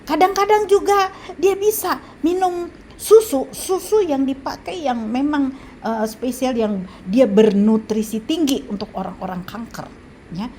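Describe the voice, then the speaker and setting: A woman in her seventies with an Indonesian accent, talking casually about home remedies, pausing often with fillers and restarts. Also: fairly high pitch, darkish tone, a little hiss or hum. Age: 70s. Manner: halting, with frequent fillers and restarts. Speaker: health vlogger. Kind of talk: casual advice talk.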